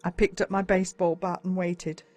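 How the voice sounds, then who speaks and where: A woman's voice: lowish voice, little pitch movement, normal speed, darkish tone, no room sound. Australian woman narrating a suspenseful story. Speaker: audiobook narrator. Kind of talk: dramatic first-person storybook narration.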